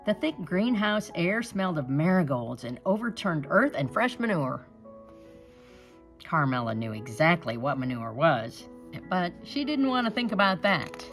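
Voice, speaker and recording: A woman reading a picture book aloud in an expressive, dramatic storytelling manner. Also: lowish voice, unhurried, soft background music.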